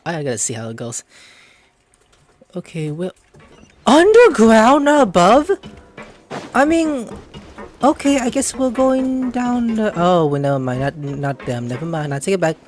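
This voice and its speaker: A woman's voice: medium pitch, lively intonation, unhurried, pauses often, a little mellow. A woman hosting a gameplay video, rambling playfully and casually as she talks back to the characters. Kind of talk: casual reactive game commentary.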